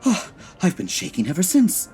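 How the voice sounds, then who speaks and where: A man's voice: sits high, wildly swooping pitch, normal speed, darkish tone. Guy playing campy dramatic department store jack frost, effusive, swoopy voice, music under it. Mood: anxious, dramatic. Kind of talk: comedy audio drama acting.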